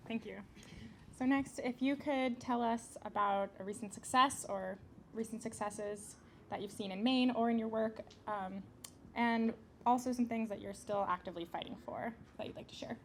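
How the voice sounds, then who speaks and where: A woman with a fairly high voice moderates a panel. She politely puts questions to the speakers. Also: moderate pace, a little hiss or hum.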